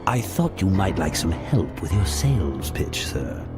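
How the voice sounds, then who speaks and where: Smarmy executive villain, game. Low register, animated formal drawl, obsequious and scheming. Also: male voice, a little slow, over music. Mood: smooth persuasion. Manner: oily, formal, drawling politeness. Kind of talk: video game voice acting.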